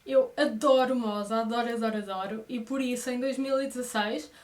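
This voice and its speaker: Female vlogger, 30, European Portuguese accent, high register, casual and expressive direct-to-viewer chat. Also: fairly quick, a little hiss or hum. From Brazil, moved to Portugal aged six. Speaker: vlogger introducing her channel.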